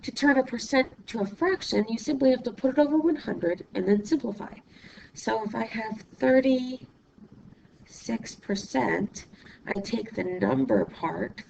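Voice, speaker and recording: Hoarse, gravelly woman math teacher. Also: fairly high pitch, a little mellow, muffled recording, a little hiss or hum. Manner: patient step-by-step explaining, asks "okay?"; writes while talking, pausing mid-sentence. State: hoarse, losing her voice from illness. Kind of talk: recorded classroom lesson.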